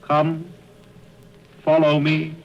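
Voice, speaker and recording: A slow, otherworldly spirit. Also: male voice, fairly high pitch, completely flat delivery, slight background noise. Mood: gently commanding. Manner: measured, solemn, gently commanding. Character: calm, otherworldly spirit, childlike yet old, commanding. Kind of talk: 1930s radio drama acting. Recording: muffled 1930s radio recording. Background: music.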